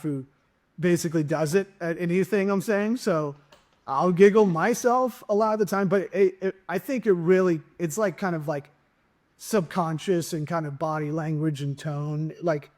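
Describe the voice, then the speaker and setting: A man's voice: on the high side, darkish tone. A middle-aged man hosting a podcast interview, a stand-up comic chatting casually with many restarts and jokes. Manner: rambling, joking, lots of restarts.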